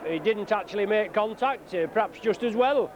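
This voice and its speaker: A man giving live rugby league commentary, slightly croaky from a cold, calling play by play with frequent "uh" fillers. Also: high voice, normal speed. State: croaky from flu. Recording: dull lo-fi broadcast tape with noise.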